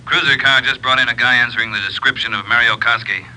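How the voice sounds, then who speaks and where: Commanding police chief, fast talker, sharp bright tone, curt. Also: male voice, mid-range voice, fairly even intonation, a little hiss or hum, rough recording. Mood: brisk. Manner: curt orders. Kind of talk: 1950s radio drama acting.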